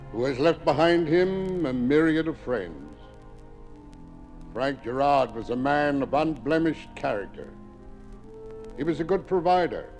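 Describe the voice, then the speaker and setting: A man's voice: medium pitch, darkish tone, lightly acted, rough recording. An actor playing a solemn, formal clergyman giving a eulogy in a 1950s radio drama, with music behind him. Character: solemn, measured clergyman delivering funeral eulogy.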